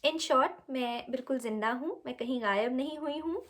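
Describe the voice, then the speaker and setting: Urdu-accented woman, relaxed vlog talk. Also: high voice. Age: young adult. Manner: unhurried, with many fillers, switching between English and Urdu. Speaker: cooking channel host. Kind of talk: casual direct-to-camera vlog.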